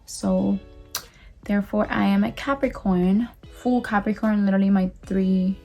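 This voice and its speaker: Casting note: early-20s woman from Cuba, Spanish first language, chatty, rambling makeup vlog delivery, middle pitch, soft music behind. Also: fairly even intonation, unhurried, vocal fry. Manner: chatty, rambling, lots of fillers and restarts. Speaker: beauty vlogger. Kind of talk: casual get-ready-with-me chat.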